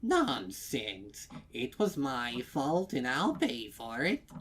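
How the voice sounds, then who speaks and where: A man's voice: medium pitch, wildly swooping pitch, slow pace, fairly bright tone, breathy edge, voice pushed up, full character voice. A man voicing a polite, flustered elderly female pony customer in a storybook reading, fretful and genteel. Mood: insistent.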